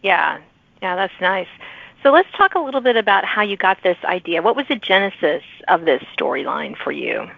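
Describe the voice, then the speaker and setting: A woman's voice: medium pitch, sing-song, normal speed, creaky, no room sound. A woman hosting a podcast interview, conversational and encouraging, affirming her guest and putting questions. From lives in Virginia, United States. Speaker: podcast host, author. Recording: thin phone-like band, dry.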